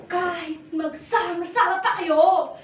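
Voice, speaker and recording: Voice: very high-pitched, steady tone, takes it slowly. A performer playing a menacing, boastful virus bent on world conquest in a stage play. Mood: gloating menace. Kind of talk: stage play villain acting. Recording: roomy distant stage recording with noise.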